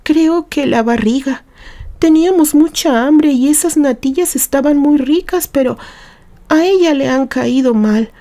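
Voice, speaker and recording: A woman acts out a worried little boy in an audio story. The voice is raised high and soft-edged. He pleads and apologizes, a protective big brother who sounds small and anxious. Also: a little slow. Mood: worried, pleading. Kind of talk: audio story character acting.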